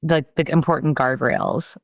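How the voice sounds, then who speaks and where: A woman hosting a podcast, asking thoughtful, measured interview questions. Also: sits low, vocal fry, dry recording, studio quality. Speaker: podcast host. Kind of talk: conversational interview.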